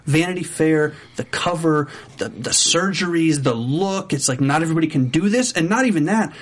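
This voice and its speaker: A man's voice: fairly high pitch, animated, moderate pace. A man hosting a casual podcast chat, talking loosely, laughing and thinking aloud as he leads the talk. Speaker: podcast host. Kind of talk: casual chat about a reality show.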